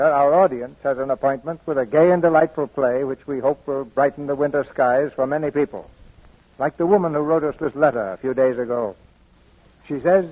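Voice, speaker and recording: A man's voice: medium pitch, breathy edge. A man hosting and narrating in a polished, theatrical, scripted 1940s radio style. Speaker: host and narrator. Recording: dull vintage radio recording.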